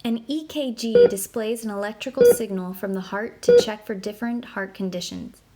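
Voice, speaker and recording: A woman narrating an interactive children's book in a clear, explanatory way, slowing down to spell out medical terms. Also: on the high side, expressive. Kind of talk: scripted read-aloud narration for kids. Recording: clear background noise.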